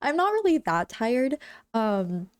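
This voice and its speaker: A woman's voice: fairly high pitch, sing-song, slow, smooth. Bubbly, chatty young woman streamer. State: a bit congested. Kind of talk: casual chat with live chat. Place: home.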